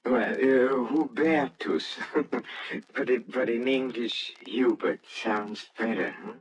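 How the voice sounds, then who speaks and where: An actor playing a timid, kindly, middle-aged botany professor in an old-time radio drama, halting and bashful as he talks. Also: medium pitch, a little hiss or hum, close to an everyday voice, poor audio. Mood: flustered.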